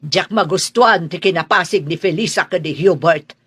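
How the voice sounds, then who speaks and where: A woman's voice: fairly low pitch, normal speed, fairly bright tone, slightly rough, no echo. A woman playing a cruel, haughty middle-aged aunt and employer in a radio drama, with a scornful, imperious manner. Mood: cold, defensive.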